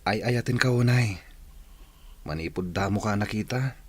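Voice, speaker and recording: A man's voice: on the low side, moderate pace, slightly rough. A man playing an eager, lovestruck young village suitor with a Filipino accent, earnest and melodramatic, in a radio comedy-drama. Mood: earnest, romantic.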